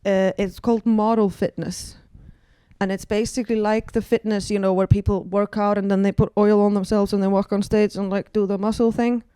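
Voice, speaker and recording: A woman's voice: medium pitch, little pitch movement, a little fast, dark tone, clean tone, no echo. Icelandic woman telling wry stories. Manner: wry, self-deprecating storytelling with many fillers. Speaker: guest comedian. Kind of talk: casual podcast chat.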